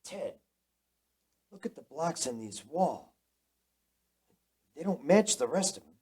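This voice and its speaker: An eager young man taking charge in a spooky radio play. The voice is husky and very animated in pitch, full of urgent exclamations and brisk instructions. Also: full character voice. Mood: curious. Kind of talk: radio drama acting.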